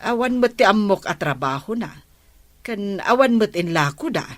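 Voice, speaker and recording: A woman's voice: mid-range voice, lively intonation, moderate pace, no room sound, lightly acted. Woman acting probing, gossipy village woman. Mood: suspicious, nagging. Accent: Ilocano. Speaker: radio drama actor. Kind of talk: comedy radio drama acting.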